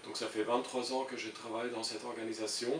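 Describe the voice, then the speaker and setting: A man's voice: medium pitch, level tone, fast, fairly bright tone. Hesitant German-accented man, distant roomy recording. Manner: hesitant, searching for words with frequent repetitions. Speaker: international civil servant being interviewed. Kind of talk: interview answers, explaining an opinion. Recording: roomy, distant mic with faint hiss.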